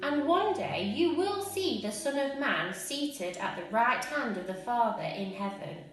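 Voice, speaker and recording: Lady doing a calm gentle prisoner, graceful even on trial, lots of room echo. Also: a little high, unhurried, far from the mic, soft background music, voice pushed up. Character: calm, gracious male prisoner. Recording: very echoey room.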